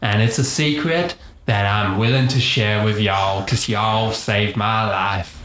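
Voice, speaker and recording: A man's voice: lowish voice, measured pace, lightly acted. Santa Claus as a drawling Texan, played by a man, folksy comedy podcast role, roomy sound. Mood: coaxing. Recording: roomy echo, faint noise.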